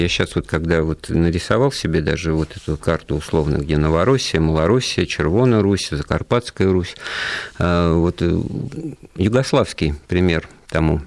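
Low-voiced guy hosting a radio talk show, thinks out loud with lots of uhs, dry studio sound. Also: normal speed, dry recording. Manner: thoughtful, hesitant, with frequent fillers.

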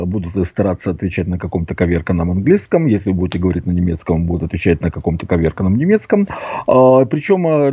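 Lively fast man over a phone line. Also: on the low side, husky, dry recording. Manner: animated anecdote telling. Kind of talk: storytelling on a talk show.

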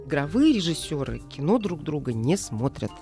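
A woman's voice: low-pitched, big pitch swings, moderate pace, warm tone. Reflective middle-aged woman, music behind. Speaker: guest, animation film director. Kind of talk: thoughtful conversational interview answers.

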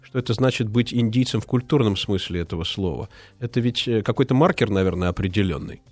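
A man co-hosting a live radio talk show, speaking thoughtfully and pausing often with fillers. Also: lowish voice, darkish tone, no echo. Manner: thoughtful, hesitant with many fillers. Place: radio studio. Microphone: studio microphone.

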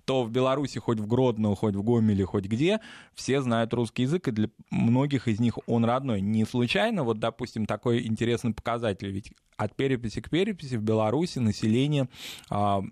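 A man's voice: mid-range voice, fairly quick, darkish tone, no room sound, studio quality. A man from Belarus, a talk show guest, explaining at length in a discursive way with frequent fillers.

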